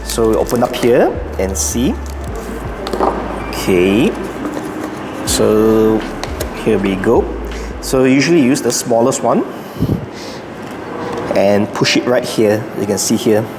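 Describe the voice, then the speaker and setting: A man's voice: on the low side, slow pace, pauses often. A man hosting a casual product guide, explaining things step by step. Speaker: YouTube host. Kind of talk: casual unboxing and setup guide. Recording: echoey room with long reverb, music behind. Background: clear background music.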